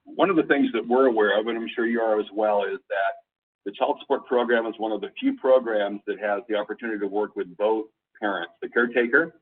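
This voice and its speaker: A man giving an informal slide presentation over a conference call line, explaining things in a halting, deliberate way. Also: lowish voice, husky, far from the mic, phone-quality sound. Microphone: conference call phone line.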